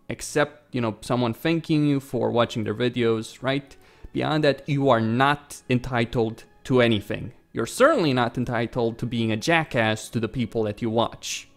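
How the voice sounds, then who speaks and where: A man's voice: mid-range voice, vocal fry. A man with a Romanian accent, a gaming YouTuber talking bluntly and swearing freely in casual commentary over gameplay.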